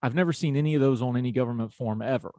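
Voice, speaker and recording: A man's voice: medium pitch, very fast, mellow, no echo. A man from West Virginia with an Appalachian accent, talking in a folksy, conversational way as a podcast host. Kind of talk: conversational interview.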